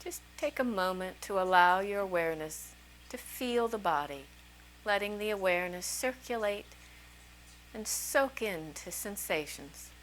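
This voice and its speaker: A woman leads a guided meditation with a medium-pitched voice over a faint layer of noise. She gives soothing instructions in short phrases, leaving long, unhurried pauses between them. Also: animated, a bit bright, low-quality recording. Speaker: meditation teacher.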